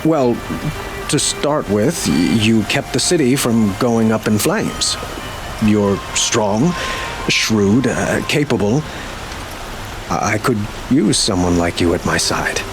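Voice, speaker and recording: A man's voice: on the low side, animated, slow, music in the background, poor audio. An actor playing an earnest, courtly king in a video game, speaking formally and eloquently with some hesitance. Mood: earnest, hesitant. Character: earnest, courtly young male Sun King. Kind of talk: video game dramatic dialogue.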